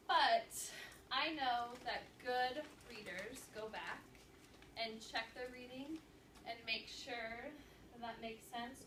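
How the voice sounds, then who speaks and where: A woman speaking as a teacher, reading aloud and instructing a class with an animated, questioning manner. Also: high-pitched. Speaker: teacher demonstrating an interactive read-aloud. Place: indoor classroom. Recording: roomy classroom, distant mic, background noise.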